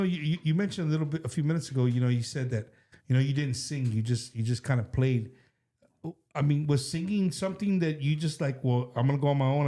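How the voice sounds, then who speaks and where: A man's voice: medium pitch, dark tone. Chatty male interview host. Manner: chatty, repeats words while framing questions. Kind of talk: casual interview.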